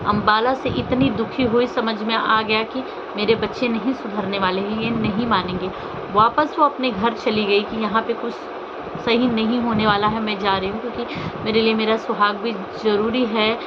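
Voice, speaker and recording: A woman's voice: a little high, steady tone, moderate pace. A woman speaking off the cuff as a social media commentator, indignant and reproachful, throwing out rhetorical questions. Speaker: social media vlogger commenting on online drama. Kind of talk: unscripted opinion commentary on a personal scandal. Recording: muffled, lo-fi, loud vehicle and ambient noise.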